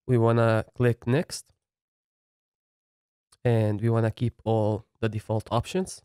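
Man calmly walking through software setup. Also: fairly low pitch, slow pace, clean tone, no room sound, clean studio sound. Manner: plain step-by-step explaining. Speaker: software tutorial instructor. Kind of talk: screen-recorded coding tutorial narration.

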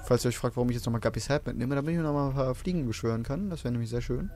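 A man with a hoarse, mid-pitched voice talks his way through a video game, relaxed and chatty with the odd curse thrown in. Faint game music plays underneath. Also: racing. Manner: chatty, swears casually, explains to viewers. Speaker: let's play host. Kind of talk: casual gameplay commentary.

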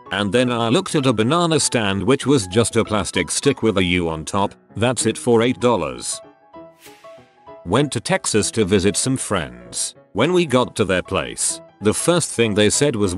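A man reading online posts aloud as scripted narration, dropping into brief quote voices. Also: on the low side.

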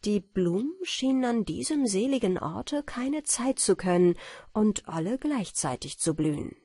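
Female audiobook narrator for bedtime fairy tales: slow, gentle, medium pitch. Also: animated, breathy edge, dry recording, clean studio sound.

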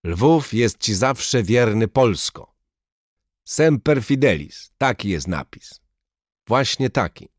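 A man voicing a brutal Soviet secret police major who shouts threats in Russian-accented, broken Polish. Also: mid-range voice, dry recording, studio quality, voice pushed up. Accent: Russian-inflected broken Polish. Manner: shouting, mocking, menacing. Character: brutal male Soviet NKVD major, sneering.

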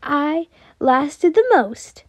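A woman voicing an eager, cheerful, excitable small boy in a story reading. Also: high-pitched, drawn-out words, dry recording. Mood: proud. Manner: beaming, excitable.

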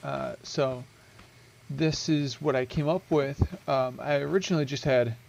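Gravelly-voiced young man for a casual game walkthrough, chatty and rambling, headset mic with faint hiss. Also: mid-range voice, unhurried, a little mellow. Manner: rambling, self-correcting, chatty with many ums. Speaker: gaming video creator.

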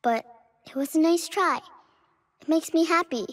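A woman's voice: extremely high pitch, moderate pace. A woman voicing a tender, solemn, knowing ghost of a little girl in a video game. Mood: gentle, resigned. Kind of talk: game acting, storybook-like delivery.

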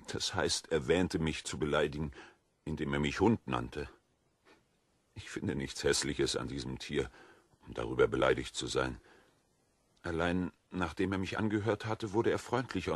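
An actor playing a gentle, earnest, naive wandering prisoner in an audio drama, speaking plainly. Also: male voice, a bass voice, husky, no room sound, exaggerated voice.

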